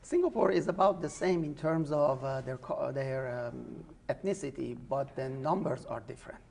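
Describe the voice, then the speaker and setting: A middle-aged man, a business school dean, hosting an informal educational interview in a measured way with frequent hesitations. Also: mid-range voice, creaky, a little mellow.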